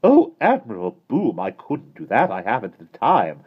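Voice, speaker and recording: A man voicing a fussy, genteel lady with a fluttery, drawn-out way of speaking. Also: lowish voice, wildly swooping pitch, breathy edge, dull audio, pitched above the performer's own voice, heavily acted. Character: fussy genteel lady, middle-aged, prim.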